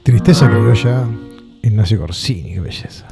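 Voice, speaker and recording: A man's voice: on the low side, lively intonation, normal speed, creaky, over loud music. A man hosting a radio show, chatty and playful and teasing his guest with jokes. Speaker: radio host. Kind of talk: casual radio chat.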